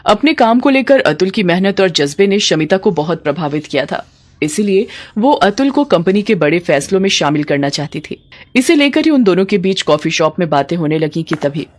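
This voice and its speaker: A woman's voice: on the low side, big pitch swings, moderate pace. A woman narrating a scripted story as its storyteller.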